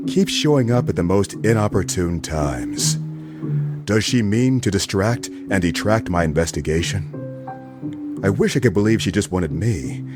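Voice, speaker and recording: An actor playing a wry, wisecracking hard-boiled male detective in a noir radio play, both narrating and in dialogue. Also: low-pitched. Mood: wry, brooding.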